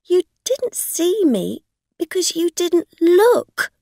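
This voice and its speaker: A woman performs a scheming, aggrieved woman in an audio story. She sounds insistent and accusing, her pitch raised above plain narration. Words come very slowly and deliberately, close and dry. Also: expressive, no echo. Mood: angry, accusing. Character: scheming, angry woman accuser demanding, playing the victim. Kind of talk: acted dialogue in an audio story.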